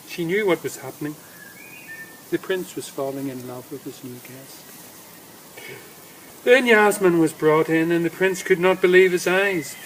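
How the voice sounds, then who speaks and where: High-voiced, slow-paced male storyteller. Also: a bit bright, low-quality recording. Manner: deliberate, emphatic phrasing with pauses. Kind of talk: dramatic literary reading aloud. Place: outdoors in woodland. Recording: faint outdoor background noise. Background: faint woodland ambience.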